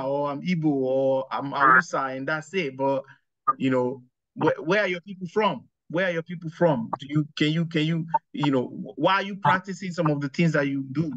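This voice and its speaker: A man's voice: a little high, no room sound. Man on a dull video call. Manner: thoughtful, many fillers and restarts, gestures while talking. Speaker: host. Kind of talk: casual video-call discussion. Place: small room with curtains, indoors. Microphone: webcam on video call.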